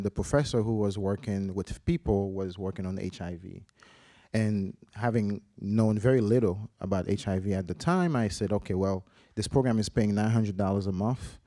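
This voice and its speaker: A man's voice: lowish voice, warm tone, no echo, studio quality. A man in his forties from Haiti, a researcher on a conference panel, telling his story earnestly with many restarts and fillers. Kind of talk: panel discussion, personal story.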